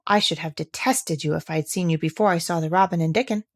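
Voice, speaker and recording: A woman's voice: lowish voice, quick pace. A woman voicing a frank, matter-of-fact, earnest young girl in a storybook reading. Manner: plain-spoken, earnest, childlike.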